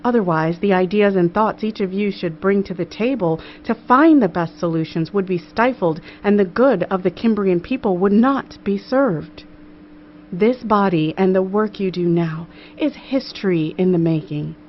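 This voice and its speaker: A woman's voice: medium pitch, normal speed, natural voice. Muffled, noisy recording of a woman as an earnest queen. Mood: earnest, solemn. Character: earnest, gracious young queen addressing her council with dry humor. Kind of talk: formal oratory in an audiobook. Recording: muffled audio with clear background noise.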